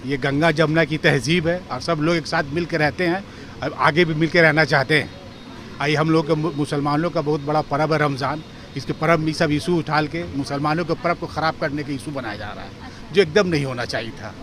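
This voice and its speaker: A man's voice: a little high, fairly even intonation, brisk, fairly bright tone. A man with a Bihari accent giving his opinion as a local resident in a street interview. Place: outdoor street. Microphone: handheld reporter microphone. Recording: noisy outdoor street with traffic.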